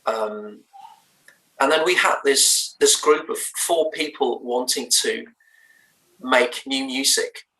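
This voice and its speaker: Danish-accented man, bright and roomy. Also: medium pitch, takes it slowly, distant mic. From Denmark. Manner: thoughtful, hesitant, many fillers and restarts. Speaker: band's lead singer. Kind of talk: remote interview chat.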